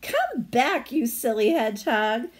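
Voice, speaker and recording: Brief: teasing young girl, read by a woman, playful and unhurried. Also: medium pitch, expressive, a little mellow, lightly acted. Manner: laughing, teasing.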